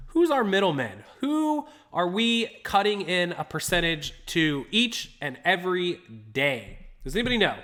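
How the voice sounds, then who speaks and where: A man's voice: high-pitched, big pitch swings, normal speed, hardly any pauses, pushed and bright. A man hosting a live stream, chatty and upbeat, greeting viewers by name and sounding a little congested from a stuffy nose. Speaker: livestream host. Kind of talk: casual live-stream talk to chat.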